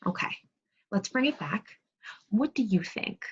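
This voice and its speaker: A woman's voice: medium pitch, expressive, measured pace, slightly rough. Dull-sounding woman teaching on video call. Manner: directive, prompting pupils with questions and countdowns. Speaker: second grade teacher. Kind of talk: interactive read-aloud lesson on video call.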